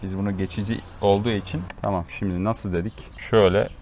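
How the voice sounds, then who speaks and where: A man's voice: fairly low pitch, steady tone, fast talker, darkish tone. A man hosting a casual hands-on gear demonstration, explaining and instructing as he chats. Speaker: vlog host showing motorcycle gear. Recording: clear ambient noise behind voice.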